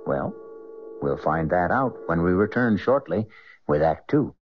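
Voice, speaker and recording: A man hosting a radio drama with measured, portentous scripted narration. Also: low-pitched, sing-song. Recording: muffled vintage radio recording. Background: music.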